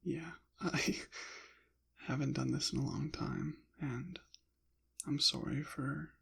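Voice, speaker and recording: Slow, creaky soft-spoken man, partly whispering. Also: mid-range voice, steady tone, a little hiss or hum. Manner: hesitant, halting, apologetic. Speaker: ASMR channel creator.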